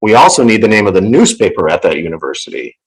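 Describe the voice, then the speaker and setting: A man with a loud voice hosting a casual live stream in a playful, showman-like way, close to the microphone. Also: lowish voice, very animated, fast talker. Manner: playful showman, theatrical announcing. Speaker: show host. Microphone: close professional microphone on an online call.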